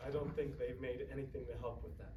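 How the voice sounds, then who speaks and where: An actor playing a weary, gentle old husband in a staged play reading, calm, patient and devoted. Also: male voice, medium pitch, quick pace, natural voice. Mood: tender. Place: live staged reading in a room. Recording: echoey room, distant mic, faint noise.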